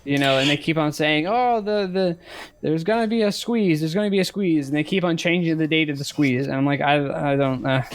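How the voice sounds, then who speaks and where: A man in his thirties co-hosting a casual podcast chat, speaking haltingly and often repeating words as he works out a thought. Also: on the high side, no echo. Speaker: podcast co-host. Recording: clicks and steady background noise.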